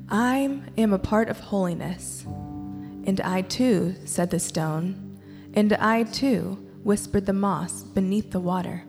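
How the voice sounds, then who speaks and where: A woman reciting a poem to a gathering, with deliberate, measured phrasing. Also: medium pitch, darkish tone. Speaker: poetry reader at church. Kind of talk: poetry recitation. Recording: echoey hall.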